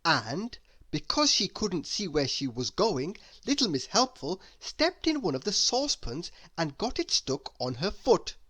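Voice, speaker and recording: A woman narrates a children's storybook in a fairly low voice. She reads expressively and slowly, with lively rises and falls. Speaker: storybook reader.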